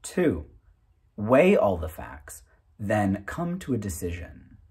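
A man in his thirties reading a self-help booklet aloud in a deliberate, item-by-item way with pauses. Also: fairly low pitch, big pitch swings, darkish tone, slightly rough. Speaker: reader.

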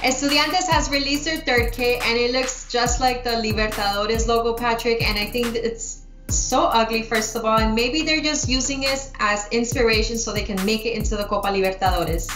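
A woman's voice: a little high, normal speed, few pauses. A young woman co-hosting a casual sports chat over a video call, upbeat and chatty. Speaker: co-host. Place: home room, video call. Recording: roomy echo, faint music behind.

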